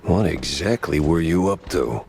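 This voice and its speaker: An actor playing a hard-boiled, wisecracking private detective in a cartoon noir game, with a gravelly voice and dry, slangy muttering. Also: male voice, extremely low pitch, animated, unhurried, heavy background noise, heavily acted. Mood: wry, musing. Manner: dry, sardonic muttering with old-fashioned slang. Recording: music playing behind the voice.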